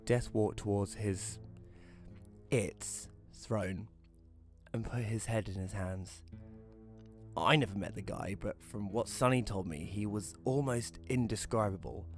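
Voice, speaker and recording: A man's voice: lowish voice, measured pace, soft background music. A man playing a casual, wry first-person narrator in a scripted audio drama. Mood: wry, matter-of-fact. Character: Rory, casual storyteller befriending a ghost.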